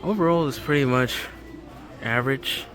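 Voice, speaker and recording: A man's voice: mid-range voice, normal speed. A man giving casual, matter-of-fact walk-through commentary as a hobbyist vlogger inside a large store. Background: background music in a store.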